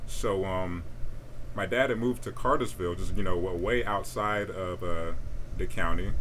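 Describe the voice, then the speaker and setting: A man's voice: a little hiss or hum, rough recording. A young man, chatting casually on a podcast, laid-back and rambling with many fillers. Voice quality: deep voice. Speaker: podcast co-host. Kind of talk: casual chat.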